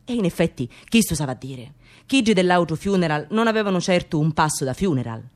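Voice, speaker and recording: Gossipy sicilian immigrant woman, radio play monologue, excitable, voice swooping all over, bits of broken english. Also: lowish voice, fast. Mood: excited, mocking. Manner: animated storytelling, mixing dialect with broken English. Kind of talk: theatrical monologue for radio drama.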